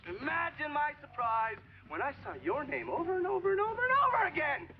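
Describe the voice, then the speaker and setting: A man playing a vengeful, bitter Vietnam veteran in a game cutscene, deliberate, sardonic and profane. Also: high voice, very animated, phone-quality sound, slight background noise. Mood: accusing, sardonic. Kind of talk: dramatic video game cutscene monologue.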